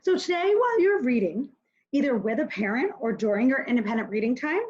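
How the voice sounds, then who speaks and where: Kindergarten teacher lady doing a reading lesson for little kids, super up and down voice, slow, kinda muffled lo-fi audio. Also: a little high, very animated, darkish tone. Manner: simple, instructive, addresses children directly with questions and pauses to think aloud. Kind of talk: recorded reading mini-lesson for young children.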